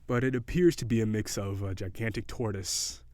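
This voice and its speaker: Man playing a haughty, low-voiced sea-monster prince. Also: fairly even intonation, normal speed, a little mellow, no room sound, natural voice. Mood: guarded, softening. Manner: formal, archaic, prissy diction. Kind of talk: audio roleplay acting.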